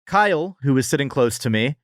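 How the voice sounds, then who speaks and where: Male podcast co-host, lively swooping delivery, casual and jokey, prone to hyperbole, dry studio sound. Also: mid-range voice, a little fast, no room sound. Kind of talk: casual comedic food review chat.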